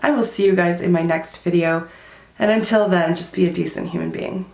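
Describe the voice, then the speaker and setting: Chatty woman with a gravelly, fading voice. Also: on the low side, fairly even intonation, fairly quick, darkish tone, echoey room. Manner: chatty and candid, many likes and fillers. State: losing her voice. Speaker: beauty vlogger. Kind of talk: casual product review. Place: small room at home, indoors.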